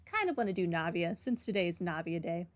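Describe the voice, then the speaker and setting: A woman's voice: fairly low pitch, expressive, fairly quick. A woman streamer giving casual, rambling game commentary in a dry, wry manner. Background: game music.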